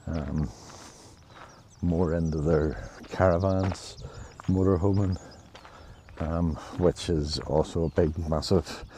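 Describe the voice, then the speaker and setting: A man's voice: low voice, steady tone, slow, dark tone, a little hiss or hum. A man, rambling and hesitant with many restarts, talking to camera while walking outdoors. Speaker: travel vlogger. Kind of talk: rambling casual vlog. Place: outdoors in nature on a walk. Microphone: handheld camera while walking.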